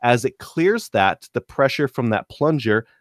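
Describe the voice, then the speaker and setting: A man's voice: mid-range voice, animated, unhurried, no room sound, clean studio sound. A man talking casually as a podcast co-host, explaining things in stop-start phrases. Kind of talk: casual gun-hobby chat.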